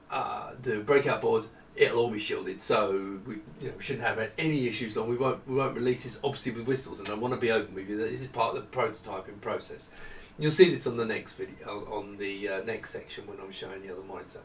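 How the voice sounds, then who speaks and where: A man with a creaky, fry-edged voice talks through a casual product demonstration. He rambles and thinks aloud as he goes. The room echoes around him and the microphone sits at a distance. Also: mid-range voice, slight background noise. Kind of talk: casual off-the-cuff tech demo. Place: indoor room with retro computers. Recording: roomy echo, distant mic, some music.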